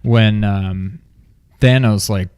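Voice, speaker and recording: A man's voice: on the low side, slow, darkish tone, clean tone. A man co-hosting a movie review in loose, unscripted chat, informally acting out his own reactions as he goes. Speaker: co-host of a movie review show.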